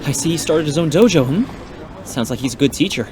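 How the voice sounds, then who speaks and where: A man playing a calm, polite young hero in an amateur audio drama, talking casually. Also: fairly high pitch, a little fast, a little hiss or hum, natural voice. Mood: curious. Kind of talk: fan audio drama acting.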